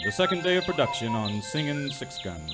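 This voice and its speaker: A man's voice: on the high side, animated, fairly quick, lightly acted. Man as a theatrical serial announcer over music. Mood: mock-dramatic. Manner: theatrical, portentous. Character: mock-grandiose male serial announcer. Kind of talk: scripted old-time radio serial narration.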